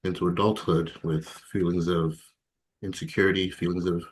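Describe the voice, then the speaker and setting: A man in his thirties from Ghana speaks in a very low voice, sharing his life story as an interview guest. He is reflective and halting, with fillers and soft laughs. The delivery is candid and unhurried. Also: fairly even intonation. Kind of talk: candid personal testimony.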